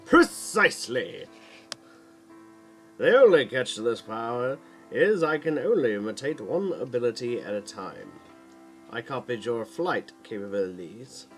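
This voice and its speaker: A man's voice: mid-range voice, very animated, measured pace, a bit bright, faint music behind, pitched below the performer's own voice. A man voicing an earnest, knowledgeable male guardian hero in an audiobook, explanatory with an urgent edge. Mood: informing.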